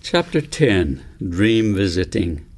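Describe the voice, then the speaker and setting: A man narrating an audiobook story in a gentle, measured, deliberate way. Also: lowish voice, big pitch swings, slightly rough. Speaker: author reading own book aloud.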